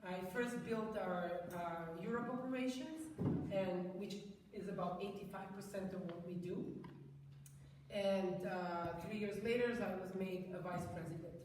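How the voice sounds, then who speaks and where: A woman gives a talk in a large room of a private home, her voice heard from well off the microphone with a long, heavy echo. She pauses often and restarts her sentences. There is a faint hiss under the recording. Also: on the low side, fairly even intonation. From Serbia. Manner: hesitant, many ums and restarts. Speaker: guest speaker. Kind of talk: public talk at a home event. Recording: echoey room, far from mic, faint noise.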